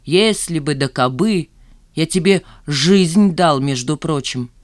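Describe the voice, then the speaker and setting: A woman voicing a sly, self-righteous old father with a slow, drawling, needling delivery in an audiobook. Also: low voice, animated, no echo, clean studio sound. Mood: scornful. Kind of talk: audiobook character dialogue.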